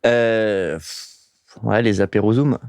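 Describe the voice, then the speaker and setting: A young man from the Paris region, a guest answering questions in a casual interview in a relaxed way, with many short "yeah" replies. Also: on the low side, takes it slowly, clear, resonant tone, no echo, studio quality. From Paris region, France. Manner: laid-back, short answers, many "ouais".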